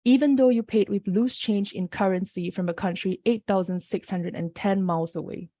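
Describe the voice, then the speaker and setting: A woman from Singapore reading her poems aloud and briefly introducing each one, sounding a little rough from the early hour. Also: medium pitch, fairly even intonation, mellow, no room sound. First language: Mandarin. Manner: measured, unhurried reading with brief spoken introductions. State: slightly off-sounding early-morning voice. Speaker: poet reading her work. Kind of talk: poetry reading.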